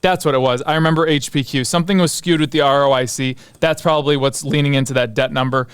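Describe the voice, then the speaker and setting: A man's voice: medium pitch, fairly even intonation, fast, clear, resonant tone. A man hosting a stock analysis show, talking casually and unscripted, thinking aloud as he goes. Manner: informal, thinking aloud, muttering asides to himself.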